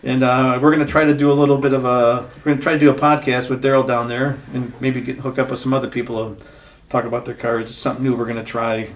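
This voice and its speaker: A man in his sixties hosts a relaxed chat with friends. He talks in a loose, rambling way and keeps circling back with "yeah." His pitch sits in an ordinary middle range. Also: fast talker. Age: 60s. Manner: easygoing, rambling, repeats "yeah". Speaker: podcast host. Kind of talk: casual chat.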